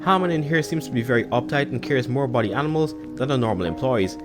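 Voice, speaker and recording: Male video commentator, quick pace, mid-pitched, casual, analytical, clear music bed. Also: lively intonation. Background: background music.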